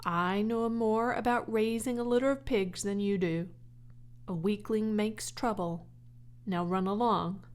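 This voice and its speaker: A woman voicing a patient farmer father in a storybook, gently firm and measured, with dry formality. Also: mid-range voice, close to an everyday voice. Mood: gentle, firm.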